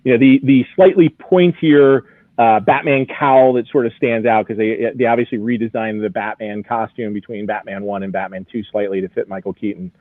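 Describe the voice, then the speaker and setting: A man's voice: fairly low pitch, fairly even intonation, moderate pace, no echo. A man giving a conversational, enthusiastic expert commentary as he previews collectible items on a live show. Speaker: auction house executive as guest expert. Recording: narrow, phone-like radio-style sound.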